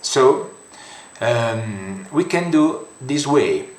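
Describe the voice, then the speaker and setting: A young man with an Italian accent talks slowly through a screen tutorial. He hesitates often and restarts his phrases. His voice carries a roomy echo. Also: mid-range voice, expressive. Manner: halting, many fillers and restarts. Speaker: software tutorial creator. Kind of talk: screen-recorded software tutorial.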